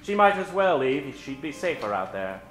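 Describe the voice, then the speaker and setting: A man's voice: mid-range voice, big pitch swings, normal speed, reverberant. A man narrating an old-time radio story in a theatrical, suspenseful way. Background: music.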